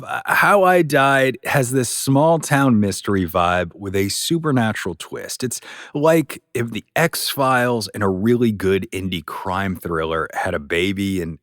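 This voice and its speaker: Male podcast host, lively swinging intonation, medium pitch, enthusiastic promo read, conversational, emphatic, close dry mic. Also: no room sound. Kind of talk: conversational sponsored promo introduction.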